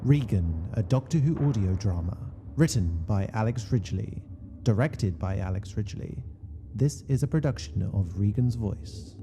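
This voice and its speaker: A man's voice: on the low side, animated, normal speed, dark tone. A man reading scripted credits as an announcer for an audio drama. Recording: faint background noise.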